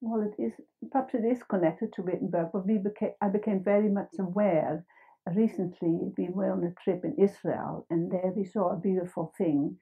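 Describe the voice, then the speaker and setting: Older woman, gravelly, thin-sounding. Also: mid-range voice, fairly even intonation, moderate pace, phone-quality sound. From Netherlands. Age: 70s. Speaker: interviewee. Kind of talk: interview answers. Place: outdoors on a terrace by a lake.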